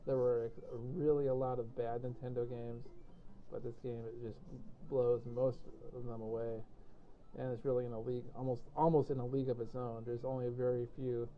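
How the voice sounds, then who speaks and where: Hoarse man rambling over gameplay. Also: medium pitch, level tone, normal speed. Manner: rambling, explanatory, self-deprecating, many fillers. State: hoarse from a cold. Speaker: gameplay commentator. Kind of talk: casual game commentary. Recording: tinny phone-like audio with faint hiss.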